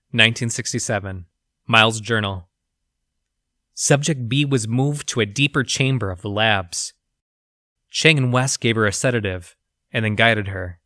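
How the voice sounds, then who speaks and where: An actor playing an educated, haunted research scientist, reading his journal for an audio drama in a formal, measured, clinical manner. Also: medium pitch, pushed and bright, no room sound, studio quality.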